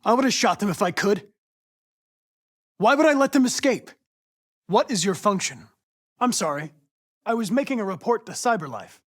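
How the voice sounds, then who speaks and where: A man with an American accent playing a polite, determined young android police investigator in a video game, speaking in a precise, formal, measured way. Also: sits high, expressive, fairly bright tone. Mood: tense, forceful. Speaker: actor voicing a video game character.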